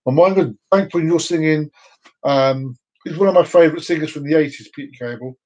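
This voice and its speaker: A man's voice: on the high side, brisk, a little mellow. A man hosting a casual solo live stream, rambling and self-mocking, breaking into off-key singing now and then. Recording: dull lo-fi sound.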